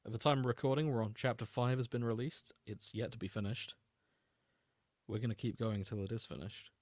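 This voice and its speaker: A man's voice: medium pitch, steady tone, a little fast, a little mellow, no echo, clean studio sound. A man hosting a podcast, chatting casually and jokily with the odd mock-announcer aside. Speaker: podcast host. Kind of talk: casual comedic podcast chat.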